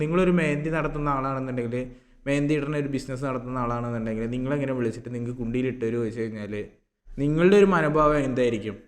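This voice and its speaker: A man giving informal opinion commentary to viewers, repeating his points and punctuating them with rhetorical questions and questioning hums, in a very reverberant recording. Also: medium pitch. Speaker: YouTube reaction commentator. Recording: long reverberant echo on the voice.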